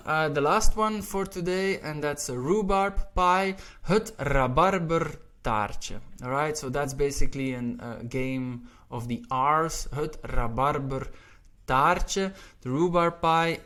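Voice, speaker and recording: A Belgian man with a Flemish accent, a Dutch speaker teaching pronunciation in English, patient and repeating each word slowly. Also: medium pitch, a bit bright. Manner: patient, repeats words slowly, says "all right" often. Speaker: language teacher. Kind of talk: pronunciation lesson.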